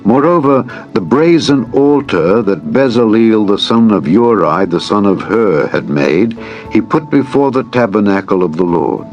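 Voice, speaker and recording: Deep-voiced man reading scripture over music. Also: a little mellow. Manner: measured, formal, reverent delivery. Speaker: audiobook narrator. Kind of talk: solemn scripture reading, dramatized audiobook. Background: background music.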